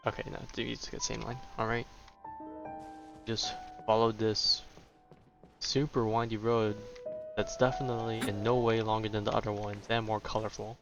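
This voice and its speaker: A man's voice: lowish voice, little pitch movement, moderate pace, gravelly. A man giving casual gameplay commentary with wry, joking asides. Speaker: game streamer. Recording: dull lo-fi microphone sound. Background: game music.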